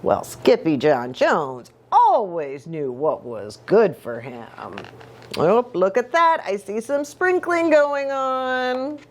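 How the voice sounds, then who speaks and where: Animated woman reading slowly to children. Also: mid-range voice. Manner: playful, exaggerated, sing-song delivery. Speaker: children's ministry pastor reading a storybook. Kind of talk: animated picture-book read-aloud for children.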